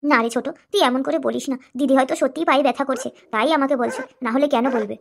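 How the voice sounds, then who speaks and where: A woman voicing a soft-spoken, obliging middle wife in a cartoon. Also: high voice, racing, gravelly, voice pushed down. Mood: soothing. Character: gentle naive middle wife. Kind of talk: cartoon voice acting.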